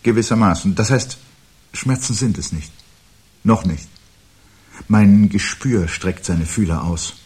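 Radio play: a haunted, fatalistic man, measured and solemn, very deep, slightly rough-edged voice. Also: a little mellow. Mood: brooding, cryptic. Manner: measured, portentous, cryptic, given to pathos. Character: brooding man haunted by dread of humanity's end. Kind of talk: 1970s German radio play acting.

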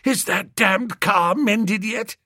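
A man voicing a flustered, bumbling young gentleman who stammers and dithers, in a storybook reading. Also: high-pitched, takes it slowly, no room sound, clean studio sound, voice pushed up, heavily acted. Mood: nervous.

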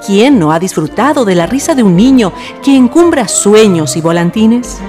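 A woman's voice: medium pitch, big pitch swings, normal speed, mellow. A woman with a Chilean accent narrating a scripted radio drama as its host, in a storytelling style. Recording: music behind the voice. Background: music.

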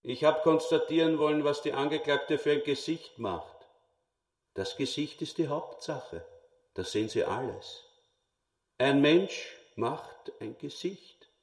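A man's voice: a little high, unhurried, recorded from a distance. An actor playing a brutal, self-important retired policeman in a radio play, declaiming pompously. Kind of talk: German radio play acting. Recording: echoey hall, away from mic.

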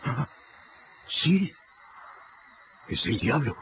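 A superstitious, bewildered servant in a radio drama. His pitch swings about as he exclaims. He sounds off-mic and dull, with faint music. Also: big pitch swings, extremely slow, fairly bright tone, far from the mic, slight background noise, heavily acted. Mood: startled bewilderment.

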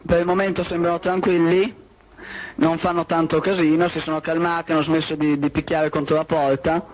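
A man's voice: a little high, flat delivery, a little fast. A man voicing a besieged pirate radio host, reporting live in an improvised way over a radio. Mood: tense, wry. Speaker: radio broadcaster. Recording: dull lo-fi radio archive sound, music behind.

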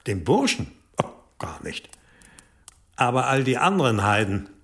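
An actor in a radio drama playing a stern, weary, scheming older abbot, grumbling and commanding. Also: male voice, medium pitch, sing-song, measured pace, vocal fry, fairly bright tone, exaggerated voice. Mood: stern, calculating.

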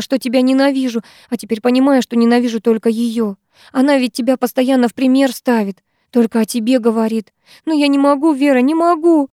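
A woman's voice: sits high, dark tone, no echo, studio quality, pitched above the performer's own voice, natural voice. A woman reading an audiobook, voicing a desperate teenage schoolgirl who pleads through tears with a breaking voice. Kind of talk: audiobook character voice.

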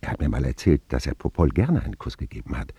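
A man's voice: rumbling low, very animated, fast, creaky, mellow, husky, dry recording, heavily acted. An actor playing a man wryly recounting his boyhood in vivid first-person radio drama narration. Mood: hopeful.

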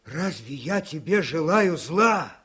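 An actor playing a desperate, servile henchman in a radio play, with a husky creaky voice and halting, stammering speech. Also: a little high, big pitch swings, fairly bright tone, heavily acted. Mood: pleading, servile. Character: desperate, servile male henchman pleading for his job.

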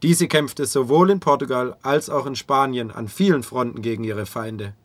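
A man's voice: medium pitch, moderate pace, no room sound. A man reading scripted documentary narration for a radio feature.

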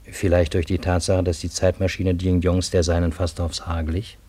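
A man's voice: deep voice, little pitch movement, normal speed, warm tone. An actor playing a genial, easily bewildered Parisian in a German radio play, urbane and wry in his literary storytelling. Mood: wry, narrating. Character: genial, bewildered Parisian man narrating a fantastic encounter.

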